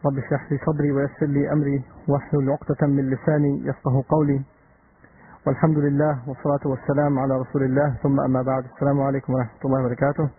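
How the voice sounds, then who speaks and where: A man's voice: medium pitch, level tone, very fast, narrow, tinny audio, slight background noise. A man whose first language is Urdu, giving a religious lecture with emphatic repetition and rhetorical questions. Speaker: Islamic lecturer.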